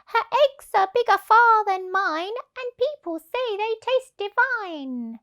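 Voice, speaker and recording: A woman puts on a crafty red hen from a children's storybook. She coaxes in a very high voice, slowly and deliberately. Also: expressive, fairly bright tone, full character voice. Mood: crafty, coaxing. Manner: coaxing.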